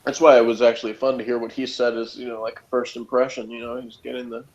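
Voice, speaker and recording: A man's voice: mid-range voice, level tone, fast talker, a little mellow, muffled recording. A man chatting casually as a panelist on a review show, rambling and peppering his talk with "like" and "you know". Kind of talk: casual opinionated chat.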